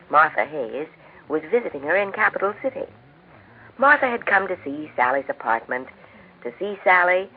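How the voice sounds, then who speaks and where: 40s radio lady host narrating, polished n cheerful, pitch goes up and down lots, tinny old recording. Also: slight background noise. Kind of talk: 1940s scripted radio narration.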